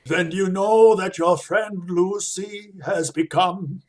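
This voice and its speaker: A grave, commanding professor and vampire hunter, played by a man, in a radio drama. He speaks slowly and formally in a fairly high male voice, solemn and insistent. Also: slight background noise. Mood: grave, urgent. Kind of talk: radio play acting.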